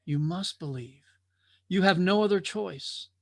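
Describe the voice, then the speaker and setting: A man voicing a solemn, calm old watcher in a parable, speaking tersely and gravely with a trace of sadness. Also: high voice, dry recording, clean studio sound, full character voice. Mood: calm, earnest. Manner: terse, grave, unhurried delivery.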